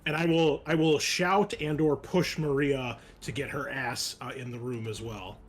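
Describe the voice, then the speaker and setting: A man's voice: mid-range voice, steady tone, normal speed. A man chatting casually as a player in a tabletop game, speaking bluntly about what he wants to do. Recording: faint music under the voice. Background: music.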